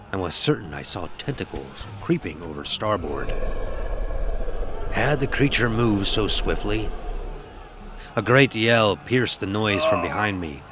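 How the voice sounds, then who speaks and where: A man with a very low voice tells a horror tale in the character of an old-time sailor. His delivery is grave, deliberate and dramatic, full of archaic nautical turns of phrase, with ambient noise clearly behind him. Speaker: storyteller narrator. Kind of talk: scripted horror story narration in character.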